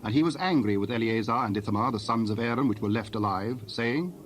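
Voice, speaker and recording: A man narrating a dramatized scripture reading in a solemn, measured way. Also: mid-range voice, slight background noise.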